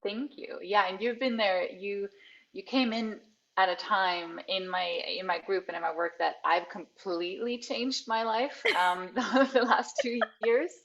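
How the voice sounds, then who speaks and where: A woman's voice: mid-range voice, steady tone, unhurried, fairly bright tone. A woman in her forties, a coach and healer, telling her personal story as a podcast guest, reflective and laughing often mid-sentence. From Sweden. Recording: dull lo-fi audio.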